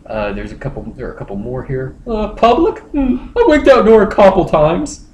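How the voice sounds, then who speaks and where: A man's voice: sits high, huge pitch swings, normal speed. Man reading aloud in echoey room. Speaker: comedy podcast host. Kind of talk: casual comic reading of internet posts. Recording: roomy echo, faint noise.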